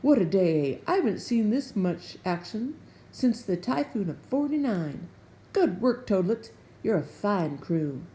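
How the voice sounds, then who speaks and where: A woman voicing a grumpy old male frog sea captain in a storybook, with gruff, blustery sailor talk. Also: medium pitch, big pitch swings, measured pace, warm tone. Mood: hearty.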